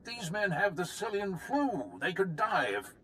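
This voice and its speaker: An actor playing a self-serving, blustering military commander in a video game, with a theatrical manner. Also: male voice, high voice, sing-song, moderate pace, sharp tone, slight background noise, full character voice. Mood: haughty, irritated.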